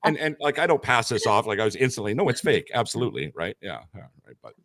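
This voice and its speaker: A man's voice: medium pitch, very animated, fast talker, a bit bright, dry recording, clean studio sound. A young man from Canada, joking and laughing often while chatting on a video call. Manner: joking, laughs often, says "right?" a lot. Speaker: game night guest. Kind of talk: casual chat. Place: Thailand.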